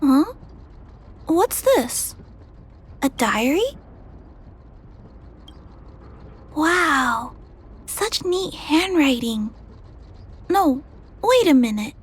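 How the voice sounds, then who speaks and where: A woman voicing a gentle, curious little girl in a video game, musing thoughtfully and wondering aloud. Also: sits high, heavily acted. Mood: surprised, curious. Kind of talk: video game character dialogue.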